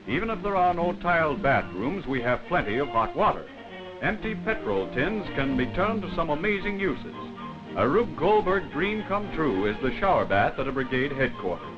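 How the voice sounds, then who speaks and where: A man reading scripted wartime newsreel narration in a formal, dramatic 1940s manner. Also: fairly high pitch. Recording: dull vintage 1940s film soundtrack. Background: loud background music.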